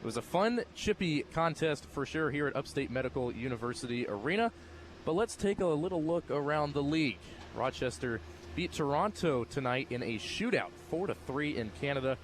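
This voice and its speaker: A man's voice: a little high, lively intonation, normal speed, slight background noise. A young American man doing sports commentary as a broadcaster, with live arena sound behind him. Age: young adult. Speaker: sports broadcaster, play-by-play announcer and postgame radio host.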